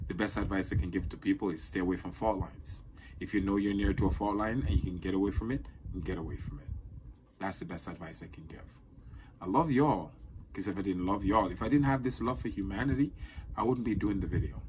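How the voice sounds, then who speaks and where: A man's voice: low voice, a little fast, darkish tone, slight background noise, rough recording. A man in his forties giving an emphatic, repetitive talk straight to the camera from indoors in front of a green screen, speaking close to a phone mic. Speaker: social media creator. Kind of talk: talking-head monologue to camera.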